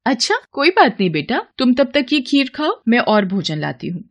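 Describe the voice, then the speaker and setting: A woman's voice: fairly high pitch, big pitch swings, normal speed, voice pushed up. Woman acting a stingy, grumbling housewife. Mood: coaxing. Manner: complaining, scheming. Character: stingy, grumbling housewife, wary and easily exasperated. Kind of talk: acted dialogue in a devotional storybook tale.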